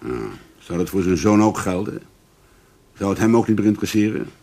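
A man acting a preoccupied, earnest investigator in a radio drama, husky and conversational. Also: fairly low pitch, lively intonation, fairly quick, mellow. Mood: earnest, insistent.

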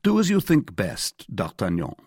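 A man's voice: fairly low pitch, huge pitch swings, normal speed, darkish tone, husky, no echo, clean studio sound, exaggerated voice. A man reading an audiobook and playing a loyal, decisive male musketeer who speaks with firm authority. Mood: firm, approving. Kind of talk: audiobook character acting.

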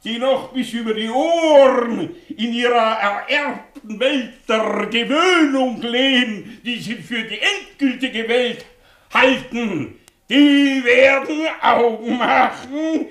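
A man's voice: very high voice, takes it slowly, fairly bright tone, full character voice. A man playing an embittered ageing scholar in a 1960s radio drama, declaiming in a theatrical, volatile way. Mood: prophetic, agitated.

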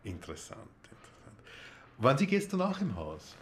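An actor playing a formal, probing male police inspector in a radio drama, speaking in an official, measured way. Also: medium pitch, wildly swooping pitch, a bit bright, slight background noise. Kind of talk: naturalistic radio drama acting.

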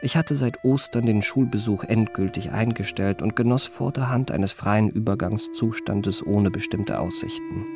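A man's voice: fairly low pitch, moderate pace, hardly any pauses, music in the background, close to an everyday voice. An actor playing an eloquent, well-bred young confidence man in a radio play, speaking in ornate, elaborately polite phrases. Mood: wry, composed. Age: young adult. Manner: ornate, elaborately polite, self-regarding diction. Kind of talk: literary radio play narration and dialogue.

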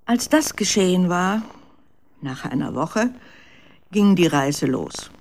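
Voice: mid-range voice, animated, slow pace, a little mellow. A narrator telling a scripted story for children in a radio drama.

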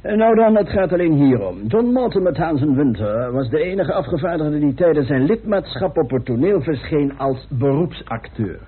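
A man's voice: a little high, lively intonation, moderate pace, few pauses, husky. A man acting in a Dutch radio play as an eager young newspaper reporter with a theatrical delivery. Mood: earnest. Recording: muffled, tinny old radio recording.

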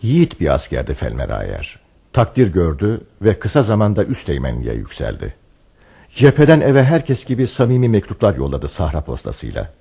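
A wry storyteller of radio theatre, with a low, mellow voice that swings widely. He is theatrical and knowing, teasing and philosophising as he goes. The voice has a husky edge. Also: huge pitch swings, normal speed, full character voice. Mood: storytelling. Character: wry male storyteller who chats knowingly with his hero. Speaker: narrator in a radio play. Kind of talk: Turkish radio theatre acting, narration and dialogue.

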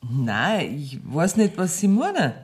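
A woman's voice: sits low, sing-song, slow pace, darkish tone, a little hiss or hum, heavily acted. An actress playing a shrewd female moneylender who feigns innocence in a radio play, speaking offhand, coy Bavarian dialect. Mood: hesitant, coy.

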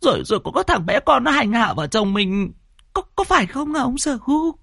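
A man's voice: very high voice, sing-song, a little fast, creaky, fairly bright tone, dry recording, clean studio sound, pitched above the performer's own voice, exaggerated voice. A man voicing a frightened elderly village wife, stammering and quavering as she speaks. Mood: trembling fear.

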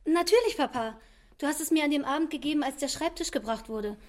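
Radio drama: actress as young daughter, high-pitched, innocent, anxious. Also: female voice, fast talker, natural voice. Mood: puzzled, anxious.

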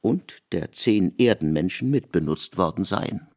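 A man narrating literary prose in a measured, expressive storytelling manner. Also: sits low. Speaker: narrator of a literary radio adaptation.

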